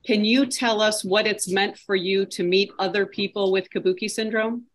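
A woman's voice: medium pitch, fairly even intonation, moderate pace. A woman moderating a panel on a video call, prompting speakers with encouraging questions.

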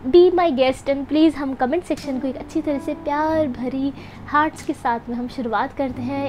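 A woman's voice: high voice, a little mellow. Chatty young woman narrating a vlog. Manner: chatty, upbeat, addresses viewers directly. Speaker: food and event vlogger. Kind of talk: voice-over narration mixing Hindi and English.

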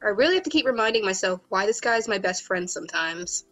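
A woman's voice: mid-range voice, animated, fast talker, a bit bright, faint music behind. A woman voicing a sleepy, melodramatic teenage boy who calls himself a great wizard, protesting in a whiny way. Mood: grumbling. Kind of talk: fan voicing of a visual novel.

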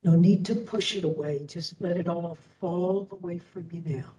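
A woman teacher leading a guided meditation in a soothing, deliberate way, leaving long pauses. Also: lowish voice, fairly even intonation, dark tone. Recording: distant microphone.

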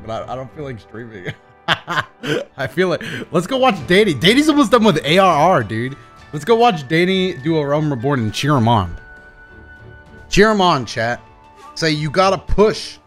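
A man's voice: medium pitch, fairly bright tone. A young man streaming games and joking around with friends, laughing often. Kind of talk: casual gaming stream banter.

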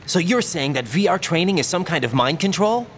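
An actor playing an earnest, naive young special-ops rookie in a video game, with a questioning manner. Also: male voice, high-pitched, animated, a little fast, slight background noise. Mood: serious, uneasy. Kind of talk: video game cutscene acting.

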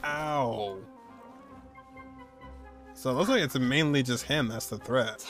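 A man's voice: medium pitch, takes it slowly, a bit bright. A man, giving casual reaction commentary as he streams a video game. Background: game music.

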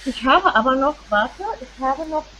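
A middle-aged woman co-hosting a casual chat from her living room on a phone handset, relaxed, with fillers and trailing sentences. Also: high voice, normal speed, a crowd in the background, rough recording. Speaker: co-host. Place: home living room, indoors.

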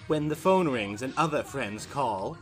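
A man narrating a horror comic story in a deliberate, melodramatic scripted style. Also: medium pitch, lively intonation, a bit bright, breathy edge, soft background music.

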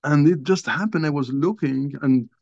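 An older man from Algeria, a guest author, telling a personal story in a halting, conversational way with many restarts, recorded over a video call from a small room at home. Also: fairly high pitch, a little mellow, no echo. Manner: halting, restarts often, many you-knows. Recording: dull lo-fi video call audio.

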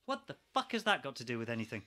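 A man's voice: medium pitch, sing-song, racing, bright, forceful tone. A man in a radio play acting a sardonic, sweary, exasperated geology researcher who is trapped and argumentative.

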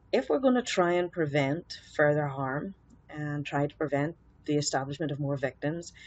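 A woman's voice: low voice, a little mellow, no room sound. A middle-aged woman with an Irish accent presenting a webinar over a laptop webcam in a small office, explaining earnestly with fillers and restarts. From Ireland. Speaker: webinar presenter, social worker. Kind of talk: webinar lecture. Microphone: laptop webcam, video call.

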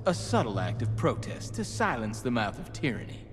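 An actor playing an educated, theatrical gentleman outlaw in a western game, speaking in florid, wordy phrases. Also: medium pitch, sing-song, normal speed, big-room sound, faint music behind, close to an everyday voice. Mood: wry pride. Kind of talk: video game western acting.

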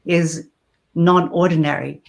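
A middle-aged woman hosting a video call interview, asking slow, thoughtful questions. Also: lowish voice, fairly even intonation, vocal fry. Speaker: host. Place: small room indoors. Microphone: webcam on video call.

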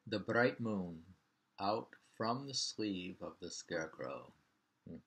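Slow, creaky deep-voiced older man. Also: lively intonation, fairly bright tone. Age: 60s. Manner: halting, repeats lines and names. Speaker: poetry reader. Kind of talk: informal poetry reading with commentary. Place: small living room at home.

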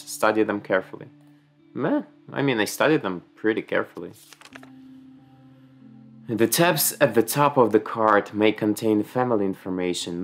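A young man with a Ukrainian accent chatting casually and reading game text aloud as he plays, recorded at home. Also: lowish voice. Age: young adult. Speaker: gaming streamer. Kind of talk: casual let's play commentary. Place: small room at home.

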